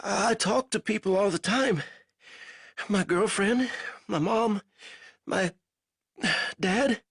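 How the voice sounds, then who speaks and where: A man voicing a starved, delirious male prisoner of war who wheezes and giggles, high and breathless. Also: slow pace, pauses often, a bit bright, slightly rough, no echo, studio quality, heavily acted.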